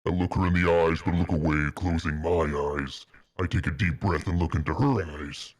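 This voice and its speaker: A man's voice: deep voice, steady tone, normal speed, no echo, clean studio sound. A man reading fan fiction aloud on a stream, mock-earnest and melodramatic, with a casual style. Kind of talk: dramatic narration with casual asides.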